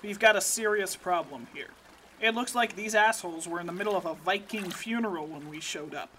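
A woman's voice: on the low side, a little fast, bright, forceful tone, slight background noise, voice pushed down. A woman voicing a blunt, foul-mouthed male secret agent who gives crude, matter-of-fact reports. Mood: urgent. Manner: blunt, profane field-report delivery.

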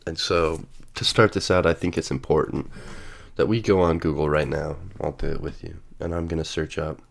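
Stuffy guy w a cold, super deep gravelly voice, rambly solo podcast. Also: fairly even intonation, moderate pace. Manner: musing, reads definitions aloud with many fillers. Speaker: podcast host. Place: sitting at home with coffee.

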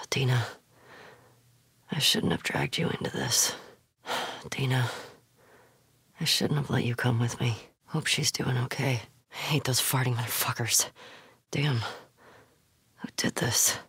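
A woman's voice: a bass voice, slow pace, pauses often, fairly bright tone, breathy edge, no room sound, clean studio sound, exaggerated voice. A young woman with an American accent playing a tough, foul-mouthed, wry and guarded survivor in a video game, terse and sardonic. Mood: worried, weary.

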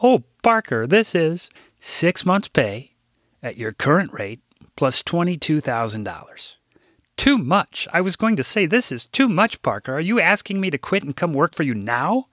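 A man's voice: fairly high pitch, sing-song, normal speed, fairly bright tone, dry recording, clean studio sound, pitched above the performer's own voice. A man voicing a fussy, emotional older woman assistant who frets over swearing and fights back tears. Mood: overwhelmed. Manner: fussy, tearful, prim about swearing.

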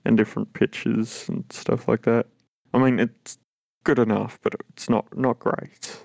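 A young man with a very deep voice talks slowly and hesitantly, restarting his sentences as he chats on a podcast. The recording is dry and close, with little room sound. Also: flat delivery, gravelly, a little mellow, no echo. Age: young adult. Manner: halting, hesitant, many restarts. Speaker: podcast co-host. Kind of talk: casual chat.

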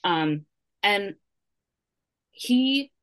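A woman chatting on a podcast, telling an animated story with a lot of "like" fillers. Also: mid-range voice, extremely slow. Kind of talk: casual discussion.

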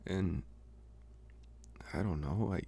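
A man playing a smitten young college vampire in an intimate, hesitant, soft-spoken ASMR roleplay. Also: low voice, vocal fry, slightly rough, exaggerated voice. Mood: awkward, coaxing. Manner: hesitant, soft-spoken, slow with long pauses. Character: young male college vampire, smitten, calm, gently possessive. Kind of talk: ASMR roleplay, intimate one-sided dialogue.

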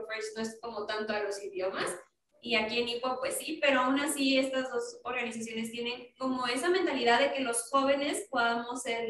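A woman's voice: a little high, a bit bright, far from the mic. A young woman hosting a casual podcast, chatty, with many fillers. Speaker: podcast host. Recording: echoey room, voice a bit off-mic, faint noise.

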